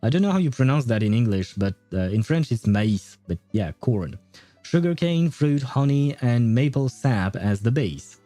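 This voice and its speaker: A man's voice: medium pitch, animated, moderate pace, dark tone, no room sound, faint music behind. A young man chatting casually on a game livestream, thinking aloud and laughing often as he reads and reacts. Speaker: gaming livestreamer.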